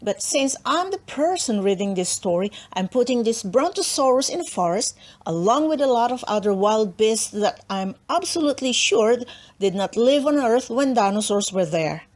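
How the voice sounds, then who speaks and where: A woman's voice: on the high side, lively intonation, normal speed, darkish tone. A woman narrating a children's storybook expressively, with dramatic emphasis and playful asides. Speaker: storybook reader.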